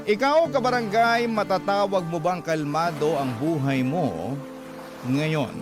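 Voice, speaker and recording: A man delivering scripted dramatic narration, reading out a personal letter in slow, deliberate phrases. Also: fairly high pitch. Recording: music behind the voice. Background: loud music.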